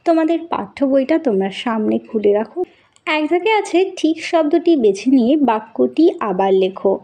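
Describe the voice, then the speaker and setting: Woman teacher for children's lesson narration: high voice, very lively intonation, instructive and deliberate. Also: muffled recording. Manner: deliberate, instructive, addressing young pupils directly. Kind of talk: scripted lesson narration with question-and-answer and poem recitation.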